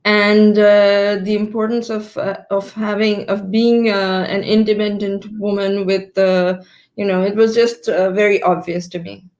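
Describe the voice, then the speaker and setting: A woman in her fifties, born in Pakistan, answering interview questions on a video call, often pausing to rephrase her sentences. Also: mid-range voice, level tone, a little mellow. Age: 50s. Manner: thoughtful, stops to rephrase mid-sentence. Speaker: guest entrepreneur.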